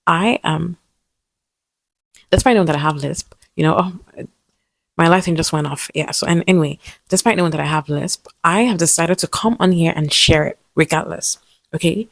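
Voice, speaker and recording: A young woman with a lisp talks in a soft, hesitant, personal vlog style and often restarts her sentences. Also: sits low, normal speed, creaky, no room sound. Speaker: vlogger.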